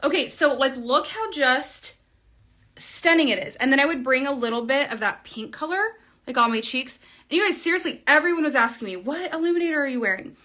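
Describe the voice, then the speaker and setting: A woman's voice: high voice, animated, fairly quick, pushed and bright. A woman in her thirties chatting through a casual livestream makeup tutorial, recorded on a phone camera in a small room at home. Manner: chatty, bubbly, talks while applying makeup. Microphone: phone camera at arm's length.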